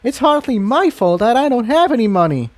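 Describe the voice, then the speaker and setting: A man's voice: very high-pitched, sing-song, a little fast, voice pushed up. A man voicing a proud young female unicorn refugee, earnest and defensive, in a fantasy story.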